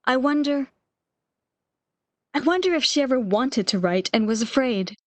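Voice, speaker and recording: Woman acting fretful bedridden woman, high-voiced. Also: animated, normal speed, dry recording. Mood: anxious, regretful. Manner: fretful, pleading, questioning. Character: bedridden, anxious, regretful sick woman longing for lost love. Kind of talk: radio play acting.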